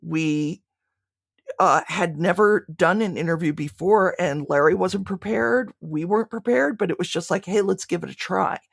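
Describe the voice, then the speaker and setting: A woman's voice: lowish voice, moderate pace, creaky, no room sound, clean studio sound. A woman being interviewed, speaking reflectively and conversationally, often restarting her phrases mid-thought. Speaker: podcast creator being interviewed.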